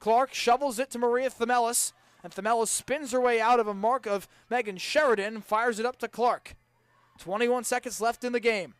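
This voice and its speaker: A young man calling a college game as a radio play-by-play announcer, with brisk, precise calls that lift sharply on scoring plays. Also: very high voice, steady tone, smooth, no echo.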